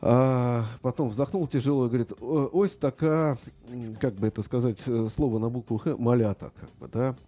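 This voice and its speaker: A middle-aged man with a very dark, mellow voice. He talks casually about politics and stumbles often, filling pauses and restarting his sentences. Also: mid-range voice. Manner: hesitant, many fillers and restarts.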